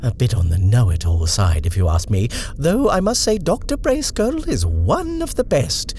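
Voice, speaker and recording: A man voicing a brisk, brusque housekeeper woman with a clipped, barking manner. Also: medium pitch, huge pitch swings, darkish tone, slight background noise, pitched above the performer's own voice. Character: brisk, brusque middle-aged female housekeeper-driver.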